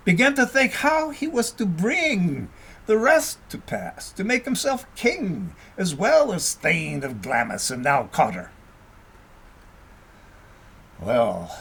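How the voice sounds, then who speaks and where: A man reading a story aloud as scripted narration, in a dramatic, expressive storytelling manner. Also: fairly high pitch, creaky, slightly rough. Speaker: audiobook reader.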